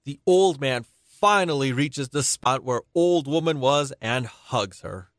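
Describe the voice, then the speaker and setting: A man's voice: medium pitch, animated, unhurried, a bit bright, no echo, clean studio sound. A man as narrator, reading scripted stage directions for a radio play. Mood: matter-of-fact.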